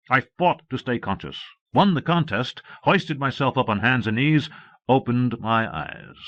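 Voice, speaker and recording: A man's voice: medium pitch, no echo. A man reading a novel aloud as a scripted first-person audiobook narration. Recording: muffled old cassette audiobook.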